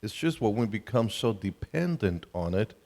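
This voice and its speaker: Older-ish colombian guy, deep voice, casual seminar talk, echoing big hall. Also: sing-song, moderate pace, creaky, darkish tone. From Colombia, South America. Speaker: seminar speaker. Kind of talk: conversational religious family seminar to a live audience. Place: large hall at a camp meeting. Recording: reverberant hall sound system.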